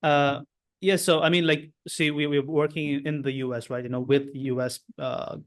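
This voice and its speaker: A man's voice: a little high, little pitch movement, normal speed. Hesitant man. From India. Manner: hesitant, searching for words. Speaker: coaching client. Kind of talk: asking questions on a coaching call.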